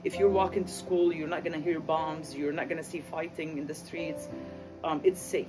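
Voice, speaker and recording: A man from Lebanon whose first language is Arabic, telling his story of migrating in a halting way with many fillers. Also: fairly high pitch, flat delivery, rough recording. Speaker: interviewee. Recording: music playing under the voice.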